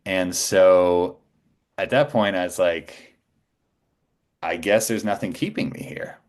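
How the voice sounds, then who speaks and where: A man's voice: low-pitched, slow. A middle-aged man telling stories in a casual, conversational way as a podcast guest.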